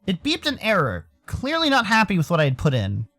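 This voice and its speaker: An American man narrates a visual novel in the first person. His voice sits a bit high and moves around a lot in pitch, dramatising the story over a music bed. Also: huge pitch swings, fairly quick, pushed and bright. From Arizona, United States. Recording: music behind the voice.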